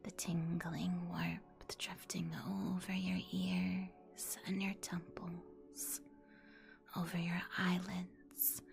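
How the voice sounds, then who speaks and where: A woman whispering a guided ASMR meditation in a soothing, deliberate, instructional manner. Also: lowish voice, gravelly. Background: music.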